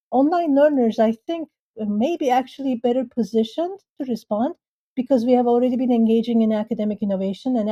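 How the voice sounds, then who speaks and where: Very dark-voiced woman from Turkey. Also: medium pitch, highs cut off. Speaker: guest, university leader. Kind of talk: panel discussion answer.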